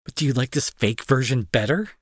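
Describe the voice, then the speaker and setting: A young man playing a gruff school bully who is fearful and protective underneath, in an intense, halting, pleading audio roleplay style. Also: medium pitch, lively intonation, no room sound, studio quality, lightly acted. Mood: earnest, pressing. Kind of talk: dramatic audio roleplay acting.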